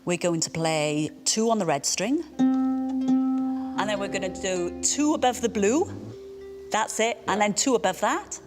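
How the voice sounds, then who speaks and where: A woman's voice: mid-range voice, expressive, measured pace, long pauses, loud music behind the voice. A woman from Wales giving a harp lesson, with patient, encouraging instructions. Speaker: harp teacher. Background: harp playing.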